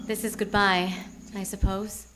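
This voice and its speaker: A woman's voice: medium pitch, level tone, a little slow, lightly acted, rough recording. An actress playing a polite, restrained, wistful married woman in a 1940s radio melodrama. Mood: sad, restrained. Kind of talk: 1940s radio drama acting. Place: live theater stage. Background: loud live guitar music.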